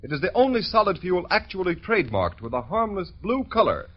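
A muffled old radio recording of an American male announcer. His highish, strongly animated voice delivers a formal, emphatic scripted pitch in vintage 1940s style. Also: a little fast. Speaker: announcer reading a sponsor message.

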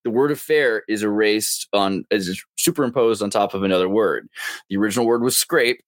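A man's voice: fairly low pitch, a little fast, no room sound. Joking male podcast host, dry mic. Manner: animated, jokey, mimics voices in asides. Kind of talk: casual podcast chat.

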